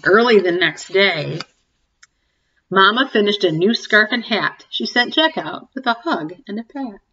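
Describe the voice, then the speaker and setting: A woman in her forties reading a picture book aloud to young children, expressively, pausing to ask them questions and comment. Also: mid-range voice, fairly bright tone, slightly rough, muffled recording. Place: small home room, indoors. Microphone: webcam or laptop mic at desk distance.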